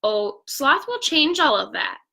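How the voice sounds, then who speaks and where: An earnest little storybook girl, voiced by a woman reading aloud, with a bright, fairly high voice and a fond, sincere way of speaking. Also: big pitch swings, measured pace, gravelly, exaggerated voice. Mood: assured. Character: young girl Amy, earnest, fond of her sloth.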